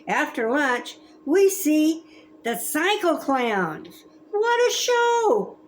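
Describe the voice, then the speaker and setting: A woman in her seventies reading a children's story aloud in a gentle, expressive, grandmotherly way. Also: high voice, drawn-out words, soft background music. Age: 70s. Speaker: storybook reader.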